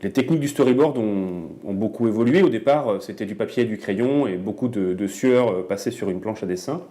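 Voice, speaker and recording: A man's voice: on the low side, brisk, mellow. A man explaining animation scriptwriting and storyboarding in a documentary interview, hesitating often. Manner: explanatory, many hesitations with euh.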